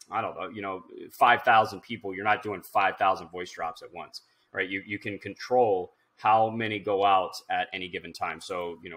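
A man in his thirties with a fairly low voice that has a bright, forward edge. He talks in an informal, instructional way, like someone walking viewers through software on screen. He often thinks aloud as he goes. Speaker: tutorial presenter. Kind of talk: casual screen-share software tutorial.

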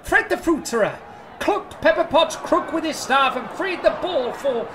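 A woman as an animated medieval match commentator. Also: high-pitched, normal speed, slight background noise. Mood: excited. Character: excitable, folksy medieval match commentator, scornful. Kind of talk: spoof sports commentary.

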